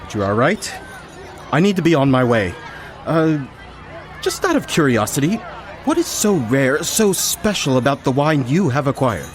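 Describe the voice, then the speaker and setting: An actor playing a vain, snobbish carnival reveler and wine connoisseur in a radio play, pompous and dismissive. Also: male voice, medium pitch, very animated, normal speed, close to an everyday voice. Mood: dismissive, impatient.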